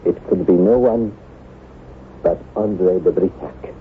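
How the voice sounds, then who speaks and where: An actor playing an anguished, devoted nobleman husband, formal, grave and halting, in old-time radio drama style. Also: sits low. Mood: grave, haunted. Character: anguished, haunted nobleman husband devoted to his ailing wife. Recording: noisy, narrow-band vintage radio broadcast.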